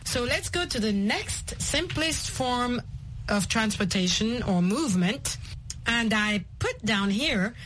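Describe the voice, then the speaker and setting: Female English-lesson host from Kenya, fairly high voice, slow and deliberate, faint room ambience behind. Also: animated, a bit bright, slight background noise. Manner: slow, clear, repeats the guest's words.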